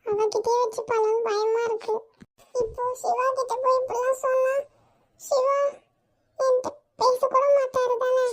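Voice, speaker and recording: A woman's voice: very high-pitched, steady tone, normal speed, a little mellow. A woman voicing an anxious, tearful young woman who is fearful and pleading. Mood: tearful, scared. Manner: tearful, trembling.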